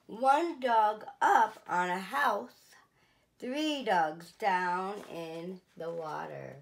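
Slow, expressive woman reading aloud. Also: mid-range voice. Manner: slow, clear and expressive, lifting her voice for the dogs' exclamations. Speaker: children's museum storyteller. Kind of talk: picture book read-aloud. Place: small indoor room, seated in an armchair.

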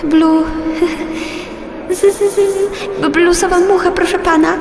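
Very high, shy little fly. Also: female voice, fairly even intonation, takes it slowly, heavy background noise, full character voice. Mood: timid. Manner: stuttering buzz on words. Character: shy, sad little female fly who sings blues. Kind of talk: children's audio play acting.

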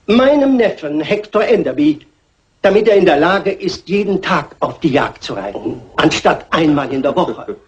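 A man's voice: fairly high pitch, sing-song, husky. An actor playing a man who formally and dryly reads out a will in a dubbed classic crime film. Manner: formal, measured, dry. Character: formal reader of a sardonic will. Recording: dull vintage film soundtrack.

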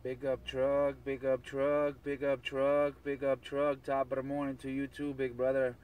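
A man's voice: medium pitch, monotone, moderate pace, a little mellow, clean tone. A man chatting on CB radio, chanting repeated phrases and call signs. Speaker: CB radio operator. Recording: noisy CB radio, partly far from mic.

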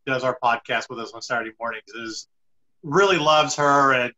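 Bright, forceful man interviewing. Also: medium pitch, normal speed. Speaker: interviewer, show host. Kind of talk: casual video interview chat. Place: indoor room on a video call. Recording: slightly dull video-call audio.

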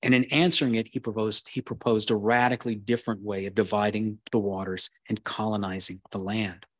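A man giving a keynote history lecture, reading in a measured way with occasional restarts. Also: lowish voice, steady tone, dry recording. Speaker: keynote speaker.

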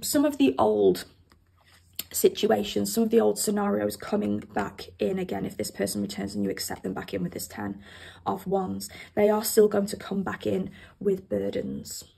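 A woman with a croaky voice left over from a cold, chatting to viewers as she gives a pick-a-card tarot reading at a table. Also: medium pitch, dark tone. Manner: chatty, addresses viewers directly. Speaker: tarot reader. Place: indoors at a table with cards and candles.

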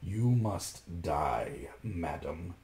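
Bluebeard, storybook villain, read by a man: cruel husband, slow heavy threats, echoey room. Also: low voice, sing-song, gravelly, a little mellow, pitched below the performer's own voice, full character voice. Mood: menacing.

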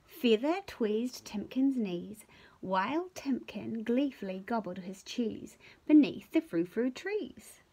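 A middle-aged New woman reads a picture book aloud at home. Her voice is high and very animated, with slow, playful emphasis and hushed touches. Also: husky. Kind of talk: expressive children's story reading. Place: small furnished room at home.

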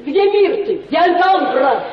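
A woman's voice: high-pitched, big pitch swings, unhurried, recorded from a distance, some chatter behind. An actress playing a scheming, sentimental middle-aged provincial mother in a radio play, declamatory, effusive and grandly persuasive. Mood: urgent persuasion. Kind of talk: theatrical Russian radio play acting. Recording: dull, roomy sound, off the mic.

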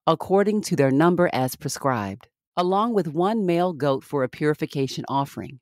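A woman reads scripture aloud in a formal, deliberate, measured manner with clear phrasing. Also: low-pitched, a little mellow, no room sound, clean studio sound. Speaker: podcast scripture reader.

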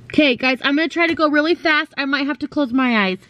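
A woman's voice: high-pitched, quick pace, a bit bright, no echo, lo-fi sound. A woman in her thirties, a mom filming a family vlog, short of breath and nervously talking herself through a cramped cardboard tunnel, with the camera held close to her face. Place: inside a small cardboard tunnel. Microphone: handheld camera close to face.

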